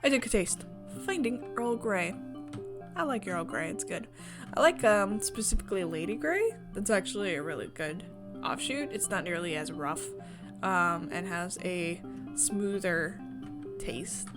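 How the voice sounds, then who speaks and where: A woman's voice: mid-range voice, lively intonation, measured pace. A woman reading a visual novel aloud as a streamer, mixing story narration with chatty asides. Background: music.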